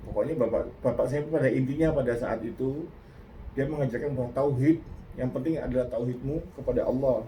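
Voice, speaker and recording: An older Indonesian man giving a casual reaction talk, reflective and earnest, pausing and restarting often. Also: medium pitch, dark tone, a little hiss or hum, rough recording. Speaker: host.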